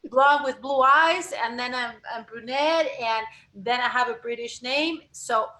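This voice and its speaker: A woman from Argentina, speaking as a guest voice coach in a lively interview, restarting phrases as she talks. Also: a little high, measured pace, poor audio. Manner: animated, expressive, frequent self-corrections. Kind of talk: conversational interview.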